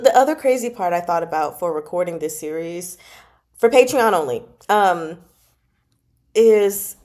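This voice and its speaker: Female channel host for casual live chat; medium pitch, giggly, chatty, animated delivery. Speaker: host of a book club channel and amateur audiobook narrator. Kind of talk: casual livestream chat, reading out and answering comments.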